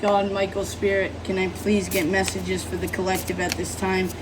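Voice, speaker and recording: Sick woman with a rough, hoarse voice. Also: medium pitch, little pitch movement, moderate pace, recorded from a distance, background noise. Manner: rambling, apologetic, many fillers. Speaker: tarot reader. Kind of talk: casual tarot reading monologue.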